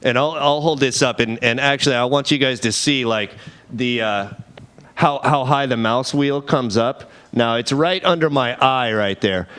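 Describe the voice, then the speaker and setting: A man's voice: medium pitch, moderate pace, fairly bright tone. A man co-hosting a gaming web show, talking casually and stumbling over repeated words. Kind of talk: casual studio chat.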